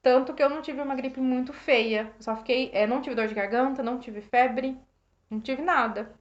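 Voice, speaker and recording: A Brazilian woman with a slightly hoarse voice, reflecting casually on a book for her live viewers, with many restarts, thanking people by name. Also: sits high, fairly even intonation, fairly quick. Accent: Brazilian Portuguese. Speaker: host reading and reflecting on a self-help book. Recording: roomy, dull lo-fi live stream.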